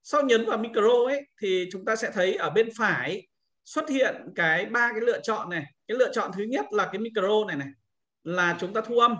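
A man's voice: high-pitched, a little fast, fairly bright tone. A man giving an informal screen-recorded tutorial as an instructor, explaining things step by step and often repeating himself.

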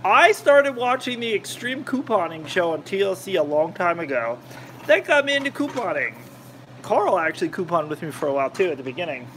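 A man's voice: high-pitched, sing-song, brisk, fairly bright tone, slight background noise, rough recording. A man rambling and joking with his live chat while cooking in his home kitchen. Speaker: cooking vlogger. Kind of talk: casual cooking livestream chat.

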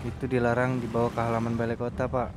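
A man's voice: medium pitch, completely flat delivery, fast talker. A man improvising as a city hall guard in an online roleplay, politely and respectfully giving instructions. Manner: polite, addresses listener respectfully.

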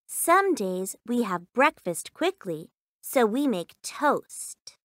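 A woman's voice: fairly high pitch, big pitch swings, slow, no echo, clean studio sound. A woman voicing a cheerful young schoolgirl, reading scripted textbook lines with careful, simple enunciation. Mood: pleasant, informative. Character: cheerful young Japanese schoolgirl describing meals.

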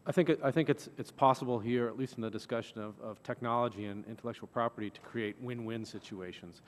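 A man's voice: mid-range voice, fast talker, a little hiss or hum. A man in his forties testifying at a hearing, gesturing and repeating words as he restarts phrases at a desk microphone. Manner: repetitive stammering restarts, gesturing. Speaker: witness at a hearing. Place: large wood-panelled hearing room. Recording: long reverb of a large hearing room.

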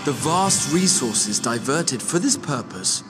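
An actor playing a scholarly young male investigator, formal and deliberate, in video game dialogue. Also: medium pitch, big pitch swings, over music. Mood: measured, probing. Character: scholarly, measured young male catfolk investigator addressing a council.

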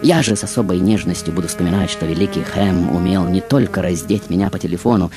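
A man performing a glamorous, vain film diva on radio, in a very low voice. The delivery is coquettish and theatrical with dramatic pauses, over clear background music. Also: lightly acted. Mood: grandly boastful. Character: glamorous, vain, seductive female film star confessing her life. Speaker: radio show host. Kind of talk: theatrical radio monologue in character. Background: music behind the voice.

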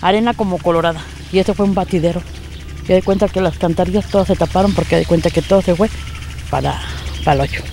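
A woman's voice: fairly low pitch, fast talker, warm tone, a little hiss or hum. A middle-aged woman with a Mexican Spanish accent, talking in a rambling, filler-heavy way into a handheld mic outdoors by a fence. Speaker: resident interviewed on the street. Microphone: handheld reporter mic.

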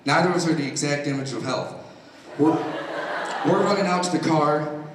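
A man's voice: fairly high pitch, moderate pace. Teenage boy in a very echoey hall. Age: teens. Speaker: high school pageant contestant performing on stage. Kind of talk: stand-up style anecdote. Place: large school auditorium stage. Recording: echoey auditorium from far off, faint noise.

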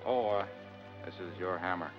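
A man's voice: low-pitched, moderate pace, natural voice. An actor playing a breezy, friendly small-town bank man in 1940s film style. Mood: affable. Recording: dull old 1940s film soundtrack, music.

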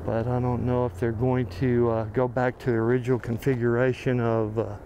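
Brief: American man, mid-pitched and gravelly, laid-back walk-and-talk narration, recorded on a camera mic outside with traffic noise. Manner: relaxed, thinking aloud with frequent pauses and fillers. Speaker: videographer on a walking site tour. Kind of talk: casual walking commentary. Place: outdoor construction site in a city plaza. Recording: outdoor camera audio with noticeable background noise.

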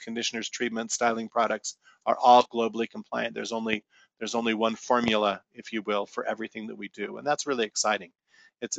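Male webinar presenter, mid-pitched, conversational and explanatory, dry room, dull lo-fi audio. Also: creaky, fairly bright tone, dry recording. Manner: conversational, explanatory, self-correcting. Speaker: company presenter.

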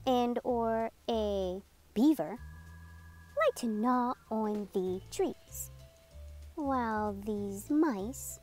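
A woman reading a picture book aloud to children, enunciating each word deliberately and sounding out vocabulary with animated emphasis. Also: on the high side. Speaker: read-aloud host for children.

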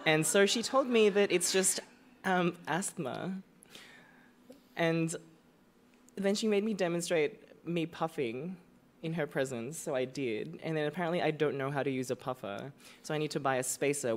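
A man's voice: a little high, a little slow. Chatty man with a cough. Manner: rambling, self-deprecating, chatty. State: has a cough. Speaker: panelist, screen representation expert. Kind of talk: casual panel talk. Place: theatre stage before an audience.

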